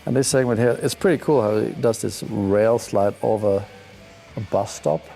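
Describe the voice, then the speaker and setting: A man's voice: on the low side, normal speed, creaky, warm tone, faint music behind. A young man from Sweden, speaking, gives laid-back, slangy, enthusiastic commentary while reacting to ski clips. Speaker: pro skier.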